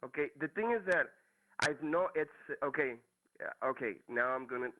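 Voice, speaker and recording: A man's voice: mid-range voice, lively intonation, normal speed, phone-quality sound. A man from Mexico with a Mexican Spanish accent, calling in to a talk show and searching for words with many restarts. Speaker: caller. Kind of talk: casual call-in chat.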